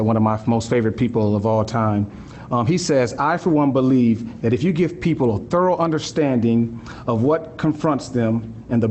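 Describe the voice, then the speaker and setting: An earnest man presents to a live audience at an ordinary, middle pitch. He leans on rhetorical questions and a habitual "right?", slowing down to stress key points. A faint low rumble sits under the recording. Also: a little mellow. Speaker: school district director giving a talk.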